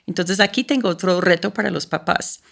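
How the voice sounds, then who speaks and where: A woman's voice: on the low side, a little fast, creaky, a bit bright. A woman speaking as an interview guest and education coordinator, giving practical tips in an explanatory way and often checking in with "¿sí?". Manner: checks in with listener using "¿sí?". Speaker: school language program coordinator.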